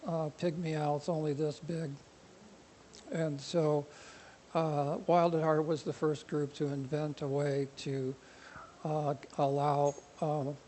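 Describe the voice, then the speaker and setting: A man giving an informal public talk with a quiet voice, rambling and joking as he goes, his nose running from the cold air, speaking into a microphone. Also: on the high side, a little hiss or hum, rough recording. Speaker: presenter at a conservation society talk.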